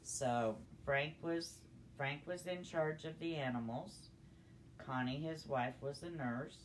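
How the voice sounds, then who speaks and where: A woman's voice: deep voice, slow. Older woman storyteller, noisy recording. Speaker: storytelling guest. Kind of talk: casual storytelling.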